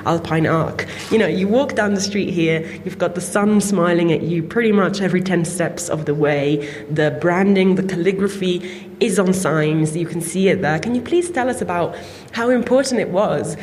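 A woman speaking as a guest on a live panel, fluent and measured. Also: fairly low pitch, darkish tone. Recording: long reverb of a hotel hall with faint noise.

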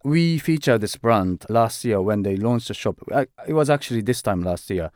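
Fields: Sex: man. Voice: low, smooth. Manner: halting, filler-heavy. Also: lively intonation, darkish tone, dry recording, studio quality. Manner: halting, many "like" and "uh" fillers, restarts words. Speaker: fashion director being interviewed. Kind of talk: conversational interview.

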